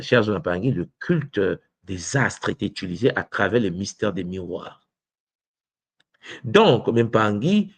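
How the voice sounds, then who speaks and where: A man of Congolese origin gives a spiritual lecture in a low voice. He often pauses to hesitate and asks his listeners whether they follow. The sound is dry and close. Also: vocal fry, breathy edge, no room sound. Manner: frequent hesitations and rhetorical check-ins. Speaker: spiritual teacher.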